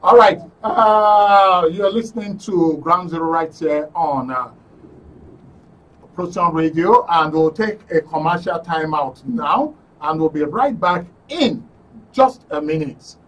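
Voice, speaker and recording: A man's voice: fairly high pitch, slow, fairly bright tone, low-quality recording. A man with a Nigerian accent hosting a live phone-in programme in a polite, formal way, repeating phrases for emphasis. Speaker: radio and TV host.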